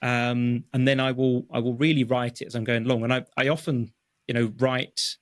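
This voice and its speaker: A man speaking English as his first language, a guest explaining his writing process in a relaxed interview, with many fillers and restarts. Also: medium pitch, moderate pace, dry recording, studio quality. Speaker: guest, technical writer. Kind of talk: conversational interview. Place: home office.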